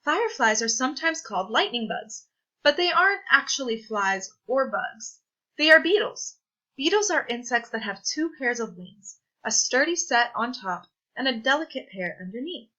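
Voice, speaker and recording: A woman with a very bright, forward voice reads a children's science book aloud. She sits a little high in pitch and phrases things deliberately, like a teacher. The recording is somewhat dull and lo-fi. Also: lively intonation. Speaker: read-aloud presenter.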